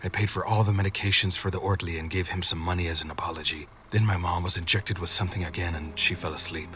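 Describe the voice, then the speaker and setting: A man with a very low yet bright, forceful voice narrates a first-person horror tale. It is scripted storytelling, with faint music underneath. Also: fairly even intonation, fast talker, recorded from a distance.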